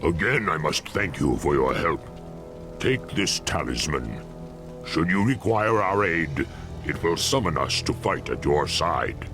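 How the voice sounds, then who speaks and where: Very deep, solemn fantasy creature. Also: male voice, animated, a little slow. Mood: solemn, grateful. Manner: formal, solemn. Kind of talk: video game cutscene acting. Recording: music behind.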